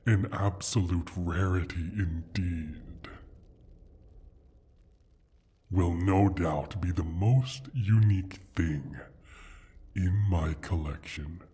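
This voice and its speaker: A man voicing a proud, sly male dragon in an ASMR fantasy roleplay, speaking in a deliberate, formal, teasing way with a husky, breathy rumble. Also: dark tone, full character voice. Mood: possessive, silky. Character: injured male dragon, proud, sly, possessive of his hoard.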